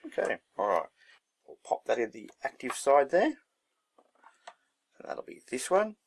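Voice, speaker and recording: A man's voice: medium pitch, sing-song, a little slow. A man giving a casual hands-on demonstration, thinking aloud in a laid-back, unscripted way as he works. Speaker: hobbyist presenter.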